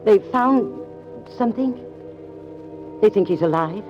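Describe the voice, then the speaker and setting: A woman's voice: mid-range voice, animated, slow. An actress playing the sorrowful, wary wife of a long-missing man in a 1940s radio melodrama. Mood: wary, anxious. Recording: dull vintage 1940s radio recording, faint music.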